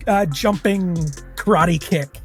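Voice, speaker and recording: High-voiced man describing punches. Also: slow, soft background music. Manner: excited, energetic action descriptions. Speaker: player. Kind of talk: playing a monk at a tabletop game.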